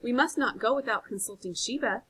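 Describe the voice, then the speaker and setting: Village weaver woman, played by a female reader: storybook, firm, sensible, bright-voiced. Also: a little high, a little fast, breathy edge, voice pushed up. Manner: steady, resolute, persuasive.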